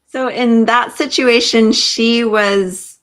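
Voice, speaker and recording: A woman podcast host asking questions casually, in a tentative, pausing way. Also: fairly high pitch, steady tone, a bit bright. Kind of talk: casual conversational interviewing.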